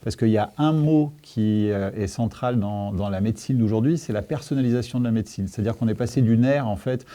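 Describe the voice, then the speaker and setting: A man's voice: on the low side, fairly quick, warm tone. A man answering as a panel guest, explaining hesitantly and choosing his words with care. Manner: hesitant, careful with wording.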